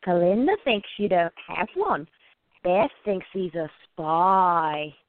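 A woman voicing a quiet, curious gallery worker in a radio play, chatty and gently prodding her friend. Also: fairly low pitch, animated, slow, pitched below the performer's own voice. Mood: amused, gossipy. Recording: tinny, phone-like narrow sound.

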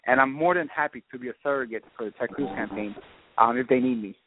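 Phone-in political guest, man, brisk earnest explainer, narrow dry line. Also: medium pitch, slight background noise. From Miami, born in Puerto Rico. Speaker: guest, local party vice chairman and political campaign surrogate. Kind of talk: political radio interview.

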